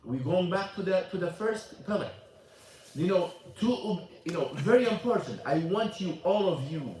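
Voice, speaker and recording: A man teaching a religious class at a mosque. He is emphatic, repeats his points and quizzes the audience. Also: sits high. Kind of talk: religious lesson, switching between Arabic and English. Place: mosque hall. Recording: echoey hall, mic at a distance.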